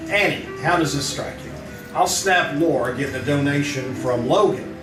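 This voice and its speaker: An actor playing a brash, wry newspaper crime photographer in an old-time radio drama staged live. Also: male voice, fairly high pitch, moderate pace, music in the background, close to an everyday voice. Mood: brisk, eager. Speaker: amateur radio troupe actor. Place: small theater, live on stage. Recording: roomy theater stage, distant microphone.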